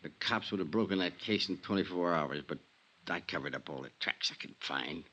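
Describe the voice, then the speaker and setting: A crooked insurance adjuster in 1950s radio drama style. The voice is deep but forceful and bright. He talks in folksy tough-guy slang, with a sardonic, falsely genial air that covers a killer. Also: a little fast, highs cut off. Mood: smug, wheedling.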